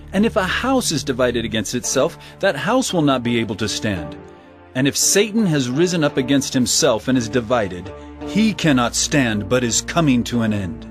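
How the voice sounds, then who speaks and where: An actor playing Jesus as a calm, authoritative male teacher in an audio drama, with a measured, solemn delivery. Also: medium pitch, close to an everyday voice. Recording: music bed, slightly distant mic.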